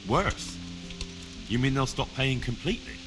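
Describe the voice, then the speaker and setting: A man's voice: mid-range voice, animated, normal speed, music in the background, lightly acted. A young man playing a loyal, earnest servant of a medieval lord in a video game, polite and inquisitive. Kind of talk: cinematic game dialogue.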